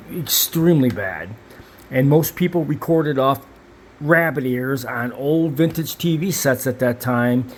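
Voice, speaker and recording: A man's voice: medium pitch, slight background noise. A middle-aged man giving a casual, off-the-cuff explanation in an emphatic, repetitive, boastful way, like a seasoned specialist. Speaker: video tape restoration specialist. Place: television equipment studio.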